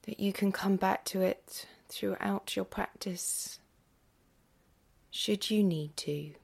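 Female meditation guide: husky, hushed, near-whispered, creaky at the edges, gentle and very unhurried. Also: medium pitch. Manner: gentle, unhurried, with long pauses. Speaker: yoga nidra meditation guide.

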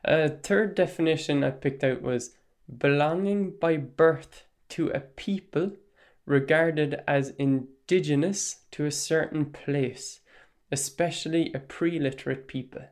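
A man hosting a podcast, talking in a measured, reflective way and reasoning aloud. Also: on the high side. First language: Irish. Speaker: podcast host. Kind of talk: casual podcast talk.

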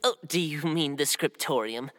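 A woman voicing a timid young male mouse scribe who squeaks and stammers nervously. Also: moderate pace, fairly bright tone, in a large hall. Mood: eager.

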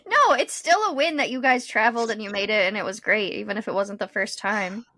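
A woman's voice: a little high, expressive, normal speed, bright, forceful tone, no room sound. A woman co-hosting a podcast, talking casually about her life in a conversational, self-deprecating way. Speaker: podcast co-host. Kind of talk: casual personal chat.

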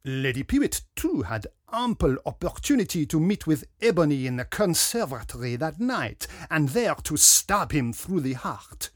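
A man playing a pompous French detective, lively pitch. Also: dry recording, clean studio sound. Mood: grandly accusing. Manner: pompous, self-regarding, deliberate. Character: pompous, theatrical foreign male detective, small and dapper.

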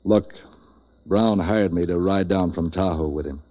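Low-voiced deadpan tough guy, vintage radio. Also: measured pace. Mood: sardonic. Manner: deadpan, clipped, wisecracking. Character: street-wise tough hired hand, sardonic narrator hero. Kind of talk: 1940s radio detective acting and narration. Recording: dull vintage 1940s radio recording.

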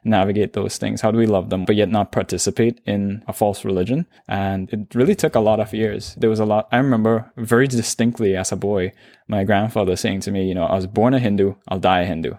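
A man's voice: fairly low pitch, steady tone, brisk, dark tone, no echo. A man from Trinidad with a Trinidadian accent, telling his life story as a podcast guest in an easygoing, reflective way. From Trinidad and Tobago. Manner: reflective storytelling, frequent "you know". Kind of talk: conversational podcast interview.

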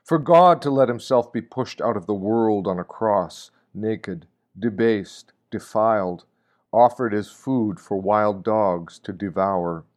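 Male reader for a Lenten meditation: grave, deliberate, unhurried delivery in a fairly low register. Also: a little mellow. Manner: solemn, deliberate, with rhetorical questions. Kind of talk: scripted devotional reading, sermon.

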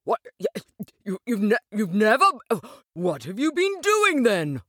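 Woman as a lively, carefree storybook water rat. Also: fairly low pitch, normal speed, no echo, studio quality. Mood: astonished. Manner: hearty, breezy, dreamy about the river. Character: cheery, easygoing male water rat who loves boats. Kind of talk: animated storybook character reading.

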